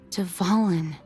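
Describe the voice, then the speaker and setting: A woman playing a composed, dutiful female knight commander in a video game, measured and earnest. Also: on the low side, darkish tone. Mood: concerned. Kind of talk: video game character acting.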